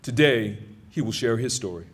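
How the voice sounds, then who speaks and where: A man serving as master of ceremonies, introducing a speaker in a measured, formal announcing manner. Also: mid-range voice, animated, slightly rough.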